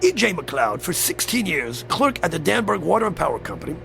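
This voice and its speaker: A man's voice: high-pitched, big pitch swings, fairly quick, gravelly, sharp tone, heavily acted. An actor playing a sly, genial, mysterious newspaperman in a radio drama, wheedling and courtly, stammering when pressed. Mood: polite, coy. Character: sly, genial, mysterious male newspaper reporter and linotype operator. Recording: radio drama with music underneath.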